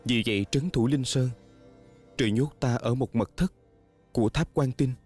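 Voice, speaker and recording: A man's voice: medium pitch, normal speed, darkish tone, close to an everyday voice. A man dubbing a devoted, self-blaming young male spirit in a fantasy drama, speaking earnestly and solemnly. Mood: solemn, sorrowful. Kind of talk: dubbed fantasy drama acting. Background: music.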